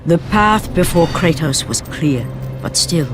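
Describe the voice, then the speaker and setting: A woman's voice: deep voice, expressive, unhurried. A woman playing a solemn narrator of an epic Greek tragedy in a video game, grave and portentous. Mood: grave storytelling. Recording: music behind the voice.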